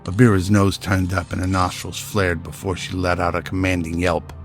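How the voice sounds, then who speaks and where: Male narrator for radio drama: deep voice, dramatic scripted storytelling over a faint music bed. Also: little pitch movement, normal speed.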